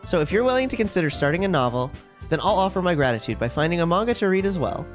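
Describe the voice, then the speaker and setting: A woman's voice: fairly low pitch, very animated, rapid-fire, faint music behind. Woman playing polite, formal, bookish girl. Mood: apologetic.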